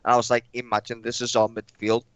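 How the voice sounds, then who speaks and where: Brief: young Liverpool caller, Scouse accent, excitable and foul-mouthed, mid-pitched voice. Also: male voice, fast. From Liverpool, England. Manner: blunt, sweary, excitable. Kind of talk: heated call-in football chat.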